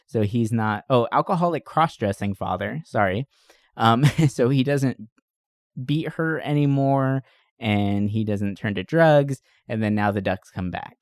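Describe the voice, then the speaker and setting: A man's voice: medium pitch, unhurried, clear, resonant tone, dry recording. Man casually rambling, very dry recording. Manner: rambling, heavily profane. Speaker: podcast host. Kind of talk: casual movie discussion.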